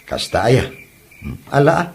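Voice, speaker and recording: Lively-toned, easygoing helpful man, played by an actor. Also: mid-range voice, normal speed, vocal fry. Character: easygoing, helpful working man, family member. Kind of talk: comedy audio drama acting in Ilocano.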